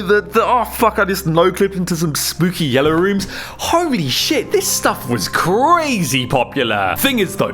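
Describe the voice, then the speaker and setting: Animated, higher-pitched man giving casual commentary. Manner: exasperated, emphatic, conversational. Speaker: YouTube video essayist.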